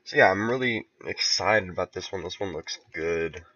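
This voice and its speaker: A man casually talking through his level building on a stream, relaxed and thinking aloud with soft chuckles. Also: low voice, moderate pace, lo-fi sound, music in the background. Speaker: game level creator streaming. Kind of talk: casual commentary while building.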